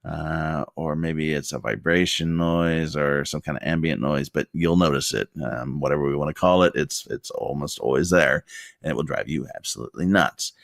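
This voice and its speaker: A man with a very deep voice giving a rambling, casual tutorial at his desk into a desktop mic, with lots of fillers and wry asides. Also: moderate pace, gravelly, no echo, clean studio sound. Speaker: video creator giving tips. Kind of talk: casual talking-head tutorial. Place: home desk. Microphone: desktop condenser mic.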